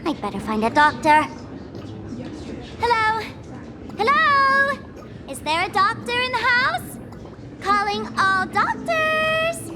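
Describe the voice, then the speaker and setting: A woman's voice: extremely high pitch, sing-song, slow pace, long pauses, heavy background noise, full character voice. A woman voicing a mischievous, chaos-loving young trickster in a game, teasing and theatrical. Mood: playful. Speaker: game character. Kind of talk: game dialogue. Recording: game audio with music underneath.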